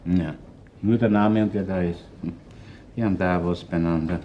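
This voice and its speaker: An actor playing a gruff, shrewd middle-aged Viennese chief inspector in a radio crime drama, speaking bluntly and colloquially. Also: male voice, deep voice, fairly even intonation, moderate pace, lo-fi sound, slight background noise, lightly acted. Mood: brisk, commanding. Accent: Viennese Austrian. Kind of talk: German-language radio crime drama acting.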